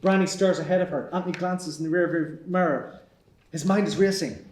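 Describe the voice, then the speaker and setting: A man's voice: sits high, fairly even intonation, a little fast, a little mellow. A man reading stage directions as scripted narration at a screenplay table read. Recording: echoey room.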